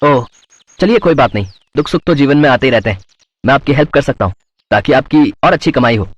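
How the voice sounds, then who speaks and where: A man's voice: medium pitch, lively intonation, quick pace, breathy edge, dry recording, dull audio, voice pushed down. A man playing a polite, enthusiastic food blogger in a cartoon story. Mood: helpful. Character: cheerful male food blogger, family man. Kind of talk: Hindi moral-story cartoon voice acting.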